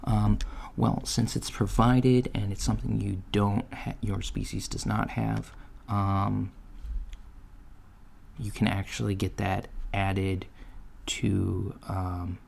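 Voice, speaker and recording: A soft-spoken man playing a polite job interviewer in an improvised ASMR roleplay, talking in a halting, hesitant way. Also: on the low side, vocal fry. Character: polite, businesslike alien-store manager interviewing a job applicant. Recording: lo-fi with steady background noise. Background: computer keyboard typing.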